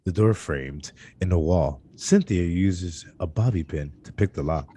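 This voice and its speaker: A man narrating screenplay stage directions at a live table read, with a husky voice and occasional stumbles that he corrects. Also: sits low, measured pace, warm tone, slight background noise. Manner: stumbles over words and corrects himself.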